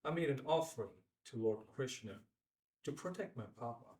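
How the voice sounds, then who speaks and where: A man's voice: mid-range voice, animated, fairly quick, darkish tone, lightly acted. A man acting the part of a charismatic bestselling mountaineer in his late thirties at a table read, speaking in a theatrical, weighty way. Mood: solemn, reverent. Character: charismatic best-selling mountaineer, late thirties, slick and self-mythologizing. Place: indoor room at a filmed table read. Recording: echoey room, distant microphone.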